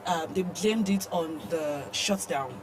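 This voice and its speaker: A woman with a Nigerian accent co-hosting a conversational news discussion in halting, hesitant phrases. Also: on the low side. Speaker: talk show co-host discussing the news. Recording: faint background noise.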